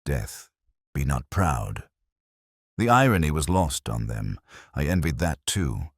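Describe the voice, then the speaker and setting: Very deep, brooding detective narrator, played by a man. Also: sing-song, slightly rough, dry recording, clean studio sound, heavily acted. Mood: solemn, then melancholy, resigned. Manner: brooding, literary, measured. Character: weary, melancholy older male police detective narrating his case. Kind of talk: scripted first-person mystery narration.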